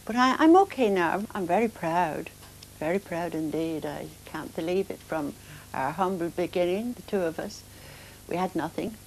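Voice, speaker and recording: An older woman speaking softly and hesitantly in a documentary interview, emotional as she remembers her son. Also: lowish voice, animated, normal speed, a little hiss or hum, poor audio. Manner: soft, hesitant, emotional reminiscing. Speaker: interviewee, mother of the subject.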